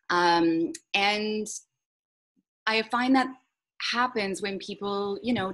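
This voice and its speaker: A woman's voice: medium pitch, fairly bright tone. A woman hosting an online group workshop on a video call, speaking informally in an encouraging, affirming way. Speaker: workshop host.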